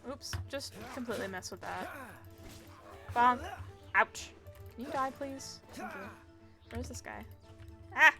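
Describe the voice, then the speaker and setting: A woman's voice: fairly high pitch, lively intonation, unhurried, vocal fry, long pauses, bright, forceful tone. A woman streaming a game, giving casual live commentary in a giggly, gushing way and reacting aloud as she plays. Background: game music.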